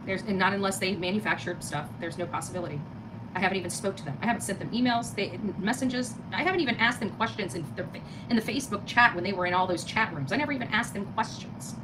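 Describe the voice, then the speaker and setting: A woman's voice: mid-range voice, quick pace. A middle-aged American woman giving casual livestream commentary and chatting with viewers in an emphatic, indignant, repetitive way. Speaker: live stream host. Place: small living room, indoors. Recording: clearly noisy home phone recording.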